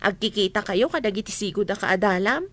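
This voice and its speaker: An actress playing a chatty, coaxing friend in an audio drama. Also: female voice, mid-range voice, animated, brisk, a bit bright. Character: chatty, coaxing woman friend spreading reunion news. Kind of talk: Ilocano audio drama acting.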